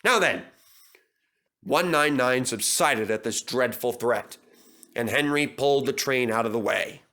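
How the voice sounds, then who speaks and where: A man's voice: mid-range voice, measured pace, pushed and bright. A man narrating a children's story as a scripted reading.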